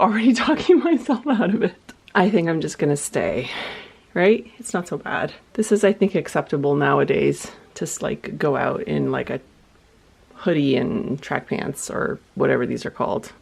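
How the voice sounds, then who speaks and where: A woman's voice: low voice, lively intonation, moderate pace, creaky, darkish tone, slightly rough. A woman from Canada in her thirties, a homeschooling mom chatting casually to the camera, rambling and laughing often. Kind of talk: casual vlog chat.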